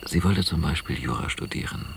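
An actor playing a hesitant, affectionate man in quiet, intimate radio drama dialogue, with a gravelly voice. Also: extremely low pitch, expressive, brisk, heavily acted. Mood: hesitant, reflective. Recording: noisy, hissy old cassette radio play.

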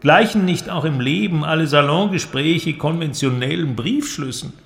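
An actor playing an eloquent, cynical inventor who argues in a declamatory, creaky voice in a literary audio drama. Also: male voice, fairly high pitch, expressive, normal speed, fairly bright tone. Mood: persuasive, mocking. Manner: declamatory, argumentative, rhetorical. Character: male inventor, cynical, eloquent philosophical persuader.

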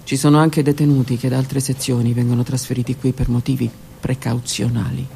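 Woman reading aloud in a gravelly hush. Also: a bass voice, flat delivery, a little hiss or hum. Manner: slow, intimate, hushed delivery with whispered words. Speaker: author reading her own book. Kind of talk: dramatic literary reading on radio. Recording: radio broadcast with faint music.

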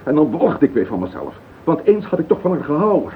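Radio drama husband: bitter, guilt-ridden, halting, swinging widely in tone. Tinny old recording. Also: male voice, on the high side, sing-song, a little hiss or hum. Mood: haunted, troubled. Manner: halting, emotional, self-justifying. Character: distraught husband confessing a murderous marriage, bitter and guilt-ridden. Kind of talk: radio play acting.

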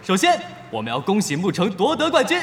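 A man playing a lively esports stage host in an audio drama, with a polished, enthusiastic announcer delivery. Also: sits high, a little fast, a little hiss or hum, full character voice. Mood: upbeat, announcing. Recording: long stage reverb, faint music behind.

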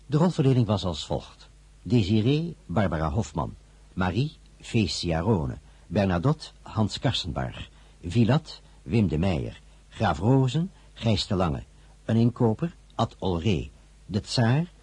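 A man reading scripted radio drama credits as an announcer, in a formal, measured manner. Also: lowish voice, sing-song, a little mellow, husky, no room sound.

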